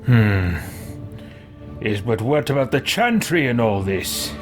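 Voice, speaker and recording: A man's voice: medium pitch, sing-song, slow pace. A man roleplaying aloud over a game as a let's play host, in a pompous, theatrical, put-upon manner. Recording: game music behind the voice. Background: fantasy game music.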